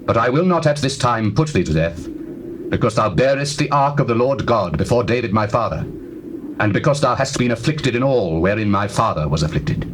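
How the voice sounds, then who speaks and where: An actor playing a stern young king pronouncing judgment in a regal, measured, forceful manner in dramatized scripture. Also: mid-range voice, expressive, close to an everyday voice. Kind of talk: dramatized scripture, classical stage acting. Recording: noisy, hissy old cassette tape.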